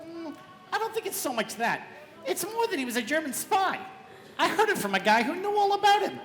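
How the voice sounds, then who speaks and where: An actress playing a gossipy party guest in a radio play, eager and conspiratorial. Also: female voice, very high voice, sing-song, brisk. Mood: excited gossip. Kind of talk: live radio play acting. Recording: echoey live hall.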